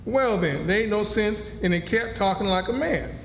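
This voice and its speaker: A man's voice: sits high, lively intonation, normal speed, slight background noise. A man playing a good-hearted, stubborn runaway slave in a radio play, talking in homespun rural dialect. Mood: stubborn, indignant. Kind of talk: stage radio drama acting. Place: live stage in a hall. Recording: echoey hall, music behind.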